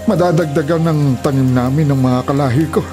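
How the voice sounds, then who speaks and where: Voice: medium pitch, a little fast, over music, close to an everyday voice. An actor playing a kindly, wary old forest elder who speaks with concern in a Filipino radio drama. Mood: kindly, cautioning. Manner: cautioning, concerned.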